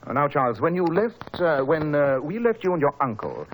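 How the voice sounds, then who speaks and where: A man's voice: a little high, very animated, moderate pace. A man playing a shrewd, self-assured detective with precise, authoritative diction, in a 1940s radio mystery style. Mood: brisk, probing. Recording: noisy old 1940s radio recording.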